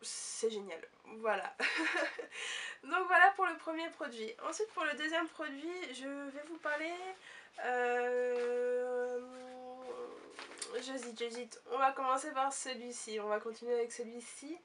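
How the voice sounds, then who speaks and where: A young woman talks through beauty products in a chatty, hesitant way. Her voice sits high and sounds stuffy from a cold. She is a little distant from the microphone. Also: a little slow, sharp tone, slight background noise. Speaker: beauty vlogger. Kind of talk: casual product review.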